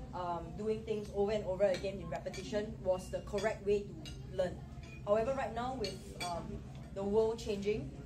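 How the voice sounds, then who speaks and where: A woman's voice: mid-range voice, distant mic, noisy background. A woman from Singapore with a Singaporean accent, presenting a math-teaching seminar and often checking in with her listeners with "okay?". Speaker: education presenter.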